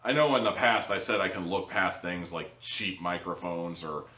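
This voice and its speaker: A man with a fairly low voice talks casually to camera as a reviewer. He is blunt and sarcastic, with an exasperated edge. The living room adds clear echo to his voice. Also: fairly even intonation, moderate pace, bright, forceful tone. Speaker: audio drama reviewer, host. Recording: echoey room, distant microphone.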